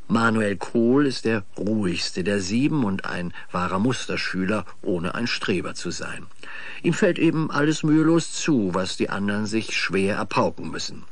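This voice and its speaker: A man's voice: on the low side, no echo. A man giving scripted narration as the storyteller of a 1970s children's radio play.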